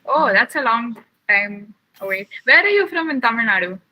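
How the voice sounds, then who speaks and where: Bright-voiced woman instructor. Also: fairly high pitch, expressive, rough recording. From Chennai, India. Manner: chatty, asks the children many quick questions. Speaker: science camp instructor. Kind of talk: casual lab tour and chat with children over a video call.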